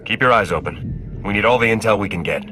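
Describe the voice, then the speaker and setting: An actor playing a steady, dutiful military sergeant in a video game, speaking in a measured, firm, reassuring way. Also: male voice, on the low side, slight background noise.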